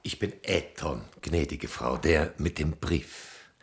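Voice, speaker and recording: A man acting a mysterious, shrewd stranger in a German radio play, dry, sly and politely insolent, with a rough, gravelly voice. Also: a bass voice, slow pace, a little hiss or hum, exaggerated voice. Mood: dry, matter-of-fact.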